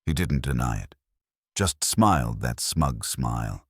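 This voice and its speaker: A man's voice: rumbling low, animated, measured pace, slightly rough, no room sound, studio quality. A man narrating a scripted first-person detective story in a wry, hard-boiled way.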